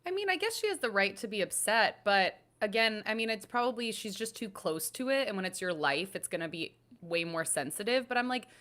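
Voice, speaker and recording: A woman's voice: mid-range voice, expressive, fairly quick, few pauses, a bit bright. A woman on a recap panel giving casual opinions, conversational and thinking aloud as she goes.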